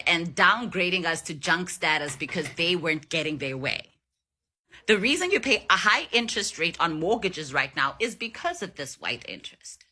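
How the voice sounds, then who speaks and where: A woman's voice: fairly low pitch, pushed and bright, slightly rough. A woman from South Africa giving opinionated commentary in a reaction video, speaking with emphatic indignation. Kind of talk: opinionated social commentary to camera.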